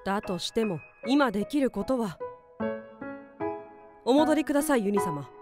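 Woman as a polite serious anime sorceress, slightly high voice, formal, light music. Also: lively intonation, moderate pace, darkish tone, natural voice. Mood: grave, worried. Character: earnest, deferential female magician disciple of a god. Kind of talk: anime-style voice drama acting.

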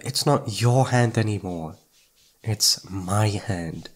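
A sweet, needy young boyfriend, acted by a man for an ASMR roleplay. His mid-pitched voice has plenty of creak in it. He is playful and pouty, teasing closely and tripping over words when flustered. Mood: sweetly boastful. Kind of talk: intimate ASMR roleplay acting.

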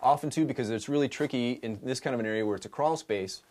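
A man in his thirties, an energy auditor, explaining an on-site home inspection in a relaxed way with many fillers, recorded in a house basement. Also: medium pitch, fast talker. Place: basement crawl space and attic of a house.

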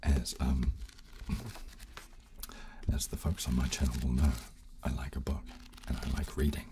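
A man in his thirties hosting a soft ASMR chat close on a desk microphone, hushed, halting and polite. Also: extremely low pitch, flat delivery, background noise. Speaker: host of an ASMR channel. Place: small furnished studio room, indoors. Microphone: close desk condenser microphone.

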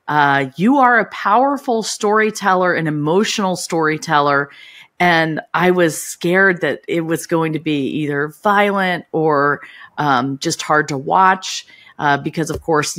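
A woman's voice: low voice, a bit bright. A middle-aged woman co-hosting a podcast over a video call, earnest and curious as she asks a guest questions. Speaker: podcast co-host. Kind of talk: casual interview chat. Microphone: video call, webcam.

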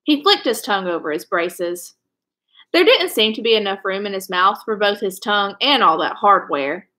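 A woman's voice: medium pitch, animated, moderate pace, sharp tone. A young woman reading a children's novel aloud in a storytelling style, indoors at home. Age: young adult.

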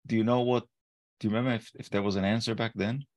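A man's voice: on the low side, fairly even intonation, quick pace, no echo. A man hosting a podcast, rambling and rephrasing his questions to a guest over a video call. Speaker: podcast host. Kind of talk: interview questions.

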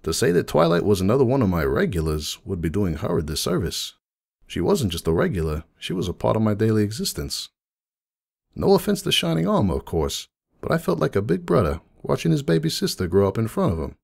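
Man acting a folksy, gravelly, low-voiced donut shop unicorn. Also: sing-song, brisk, a little mellow, full character voice. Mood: fond, reminiscing. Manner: folksy, chatty, reminiscing storyteller. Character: friendly middle-aged male unicorn donut shop owner, proud, nostalgic. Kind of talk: dramatic fan fiction reading in character.

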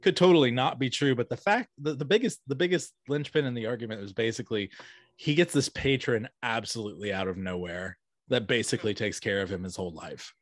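A middle-aged man with a medium-pitched voice talks as a podcast host. He rambles along with enthusiasm in an easy conversational style. The sound is dry, close on a studio mic in a small home room. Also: quick pace, dry recording. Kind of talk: casual conversational interview. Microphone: close studio mic on boom arm.

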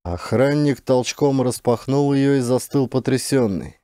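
A man's voice: medium pitch, measured pace, warm tone, no echo, clean studio sound. A man reading fiction as an audiobook narrator, in a dramatic scripted style.

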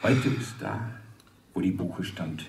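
An actor playing an earnest orator in a radio drama, speaking in a deliberate, rhetorical and emphatic way with a husky voice. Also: male voice, low voice, background noise, full character voice. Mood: sombre, nostalgic. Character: earnest male orator warning radio listeners about trees and air. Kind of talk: radio drama monologue, solemn public address.

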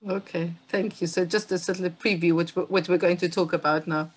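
A middle-aged woman interviewing over a video call from home, asking questions in a polite, halting way. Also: on the low side, fairly even intonation, breathy edge. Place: home room with bookshelves. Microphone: headset on a video call.

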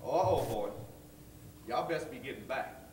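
A man's voice: medium pitch, lively intonation, reverberant, natural voice. Stage play, old male Southern janitor, acted by a man: folksy mentor, distant and noisy recording. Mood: warm, conspiratorial. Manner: folksy, drawn-out storytelling. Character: kindly, wary old blues-loving orphanage janitor, fatherly mentor. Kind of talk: stage acting. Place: theatre stage, far camera.

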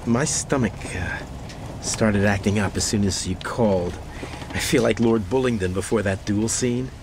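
An actor playing a nervous, starstruck North American voice actor in a radio drama, hesitant, stammering and eager. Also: male voice, lowish voice, normal speed, background noise, close to an everyday voice. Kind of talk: naturalistic radio drama dialogue.

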